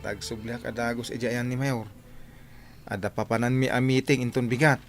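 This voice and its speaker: A man's voice: medium pitch, flat delivery, normal speed, faint music behind, close to an everyday voice. An actor playing a boastful, flirtatious driver courting a woman in a radio drama, with an Ilocano accent. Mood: flirting. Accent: Ilocano, northern Philippines.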